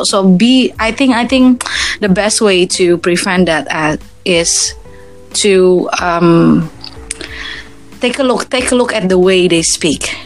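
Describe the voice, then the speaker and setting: Earnest Indonesian woman, halting English. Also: on the low side. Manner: earnest, many restarts and repeated words. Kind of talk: casual chat in English.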